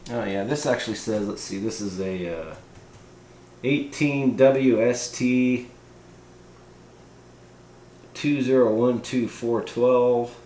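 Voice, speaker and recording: Male hobbyist reviewer, laid-back and folksy, average pitch, roomy echo with some background noise. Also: slow pace, long pauses, mellow. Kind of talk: casual hands-on product review. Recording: echoey room, noticeable background hiss.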